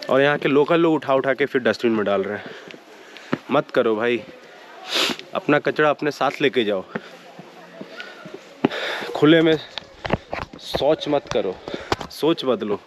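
A man's voice: medium pitch, measured pace, long pauses, background noise. A man vlogging his trip in a casual, chatty way, mixing in English exclamations as he walks outdoors and into a cave. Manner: chatty, mixes English phrases, excited exclamations. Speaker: travel vlogger. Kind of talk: casual travel vlog narration. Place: outdoors and inside a limestone cave.

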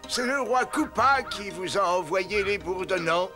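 A theatrical old wizard. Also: male voice, high-pitched, lively intonation, a little slow, over music. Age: older. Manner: theatrical, pompous. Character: old bearded male wizard, helpful and fussy. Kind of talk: French cartoon dub.

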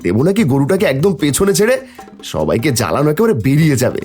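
Man as mischievous cartoon boy, high-pitched, lively swoops, taunting, bold. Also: quick pace, faint music behind. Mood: scheming. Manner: taunting, sing-song bragging. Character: mischievous cheeky village boy, defiant and bold. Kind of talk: cartoon voice acting.

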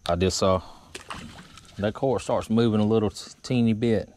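A middle-aged man, chatting casually in a relaxed drawl while fishing from a small boat on a river. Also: fairly low pitch, normal speed, a little hiss or hum. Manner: relaxed drawl with frequent uh fillers. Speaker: fishing vlogger. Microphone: action camera on the boat.

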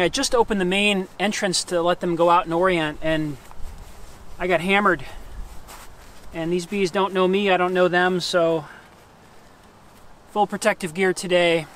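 A middle-aged man working as a beekeeper, calmly talking to himself outdoors on a farm while he works. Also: sits high, slight background noise. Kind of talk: casual hands-on narration.

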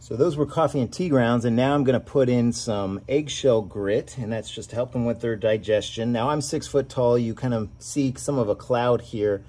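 A man hosting a casual how-to video, calmly explaining each step as he works on his back porch. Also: mid-range voice, moderate pace, few pauses. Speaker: worm farming channel host. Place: outdoor covered back porch.

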